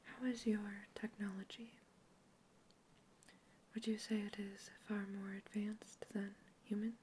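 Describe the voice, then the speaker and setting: A woman whispering gently through an ASMR roleplay, asking calm questions as an examiner. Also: medium pitch, unhurried, a little hiss or hum, low-quality recording. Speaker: ASMR artist playing an examiner.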